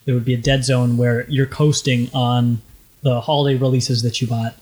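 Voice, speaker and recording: A man's voice: medium pitch, level tone, moderate pace, a little mellow. A young man with a light tenor voice, co-hosting a podcast in casual, rambling chat with many fillers. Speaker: podcast co-host.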